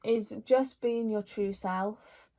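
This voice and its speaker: A woman's voice: medium pitch, fairly even intonation, slow pace, dark tone. A woman, chatting casually through a makeup Q&A, rambling with lots of fillers. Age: 20s. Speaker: vlogger.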